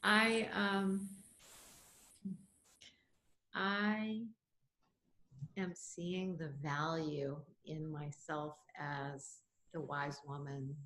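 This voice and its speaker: A woman's voice: sits low, fairly even intonation, drawn-out words, pauses often, fairly bright tone, poor audio. A middle-aged woman co-hosting a podcast, musing thoughtfully in casual chat and laughing often. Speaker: podcast co-host.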